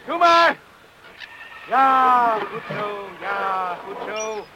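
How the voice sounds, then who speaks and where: High-voiced eager island lad, in radio drama. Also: male voice, expressive, extremely slow, exaggerated voice. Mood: urgent, excited. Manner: exclamatory, urging. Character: Arjen, eager brave young island lad driving a horse. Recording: noisy radio drama recording with outdoor effects.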